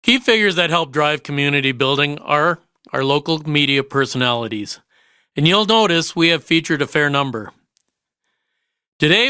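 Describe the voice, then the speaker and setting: Bright-voiced man hosting a podcast. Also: on the high side, smooth. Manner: upbeat, laughs often. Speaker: podcast host. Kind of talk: scripted introduction, then casual chat.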